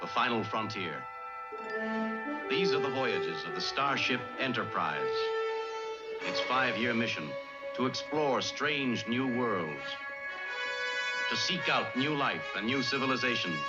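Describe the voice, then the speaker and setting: A solemn space captain, acted by a man, narrates a TV series opening. The delivery is slow and mid-pitched, slightly muffled beneath loud brass music. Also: lively intonation, close to an everyday voice. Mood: solemn, grand. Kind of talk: scripted TV title narration.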